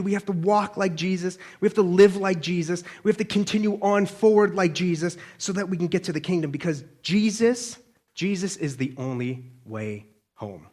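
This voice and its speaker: A man's voice: high voice, darkish tone. A man giving an informal, conversational sermon as a preacher, colloquial and often checking in with listeners.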